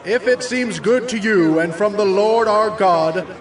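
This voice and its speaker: A man's voice: sits high, measured pace. A man playing an earnest, commanding warrior king who speaks with solemn deliberation in a dramatized scripture reading. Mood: earnest, rallying. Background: music.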